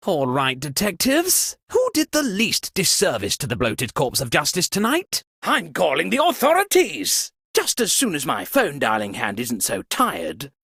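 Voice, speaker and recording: A fussy, upper-crust party host from a video game, full of pompous theatrical outrage. The pitch leaps wildly from phrase to phrase, and the voice has a husky grain, recorded very dry. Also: female voice, a bit bright, no room sound, full character voice. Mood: arch, presiding. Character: fussy, dramatic upper-class party host, easily scandalized. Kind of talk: theatrical scripted game voice lines.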